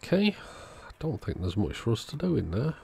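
A man calmly narrating a radio repair at his workbench in a matter-of-fact way. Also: medium pitch, moderate pace, creaky, husky. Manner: relaxed, matter-of-fact commentary while working. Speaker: electronics repair channel host. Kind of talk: narrated bench repair tutorial. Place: workbench indoors.

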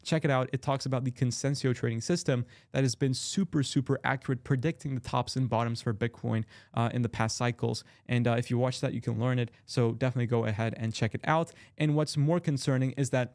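A young man talks through trading charts quickly and casually, full of fillers and restarts, his throat a little sore. He sits close to a studio mic, and the sound is dry and close with a mid-range pitch. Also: steady tone, darkish tone, no room sound. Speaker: crypto chart analyst. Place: small room at a desk. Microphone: large studio mic close in frame.

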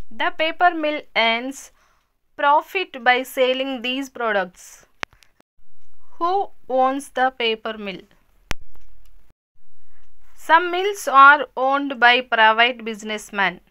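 Slow, bright-voiced woman narrating a lesson. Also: high-pitched, expressive, clear, resonant tone. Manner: measured, reads headings then explains. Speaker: narrator of a school lesson video. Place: voice-over.

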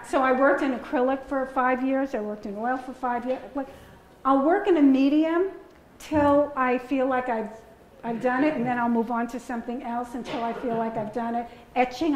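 A woman's voice: sits high, a little mellow, a little hiss or hum. Chatty older Bronx woman in a roomy gallery. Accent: Bronx New York. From the Bronx, New York. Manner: chatty, laughing often, rambling with restarts. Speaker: artist giving a talk. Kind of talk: audience Q&A. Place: museum gallery before a seated audience. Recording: roomy gallery with faint ambience.

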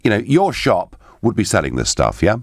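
A man's voice: on the low side, huge pitch swings, moderate pace, dry recording. A man, hosting a live radio phone-in from a studio and reading out listener messages with emphatic delivery. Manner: emphatic, swooping delivery, interjects with questions. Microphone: studio microphone with headphones.

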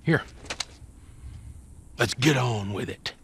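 An actor playing a paranoid, bitter middle-aged American survivor in a video game, gruff, abrasive and sarcastic. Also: male voice, mid-range voice, lively intonation, takes it slowly, background noise. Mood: irritated. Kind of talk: dramatic video game voice acting.

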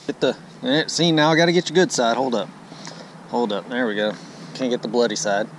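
A man's voice: medium pitch, steady tone, a little fast. A man with an American accent chatting casually and playfully as he fishes, repeating himself in a folksy way. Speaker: angler filming a fishing vlog. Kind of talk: casual running chatter while fishing. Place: outdoors on a bass boat on a lake. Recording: noisy outdoor boat audio, picks up everything.

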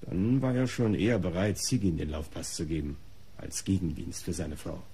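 An art patron in a radio crime play, defensive and disdainful under questioning. His voice is lowish, dark and very raspy. He justifies himself in formal, articulate sentences. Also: expressive, moderate pace, heavily acted. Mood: dry, disdainful. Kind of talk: German radio crime drama acting.

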